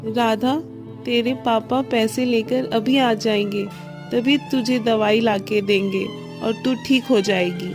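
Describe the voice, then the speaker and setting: A woman's voice: on the high side, fairly even intonation, takes it slowly, over music. A woman playing a poor, worried village wife and mother in a story, anxious and pleading. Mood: comforting.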